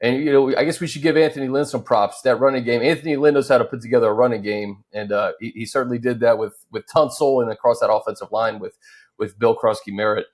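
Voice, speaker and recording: A man hosting an unscripted football discussion, recapping points conversationally with hesitant pauses between phrases. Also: mid-range voice, fairly even intonation, a little mellow. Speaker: talk show host.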